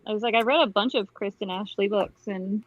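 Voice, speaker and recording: A woman's voice: mid-range voice, fairly quick, no echo. A woman in casual conversation about books on a livestream. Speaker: book-chat livestream participant. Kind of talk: casual chat. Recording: dry, dull lo-fi livestream audio.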